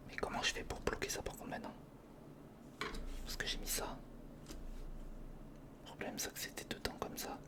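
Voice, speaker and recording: A breathy, unvoiced whisper carries this ASMR commentary. The speaker mutters casually to themselves while working with their hands, repeating short phrases in informal slang, close to the mic. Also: moderate pace, long pauses. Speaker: ASMR video creator. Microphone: close-up studio condenser or handheld recorder.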